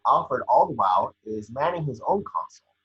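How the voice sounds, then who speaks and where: A man with a husky, raspy voice reading scene descriptions for a screenplay table read in a brisk, vivid storytelling way. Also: medium pitch, lively intonation. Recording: dull lo-fi call audio.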